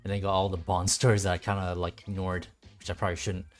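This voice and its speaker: A man's voice: low voice, level tone, fast. A man giving casual livestream gameplay commentary, rambling and thinking aloud. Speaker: game streamer. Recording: music behind the voice. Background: game music.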